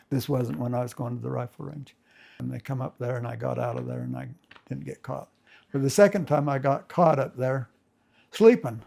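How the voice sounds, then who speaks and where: A man's voice: medium pitch, creaky, a little mellow. An older man casually reminiscing as a family storyteller, rambling with folksy grammar and many restarts.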